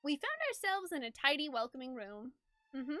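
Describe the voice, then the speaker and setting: Woman streamer, high-pitched, very lively intonation, playful chat, expressive story narration. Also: normal speed, soft background music.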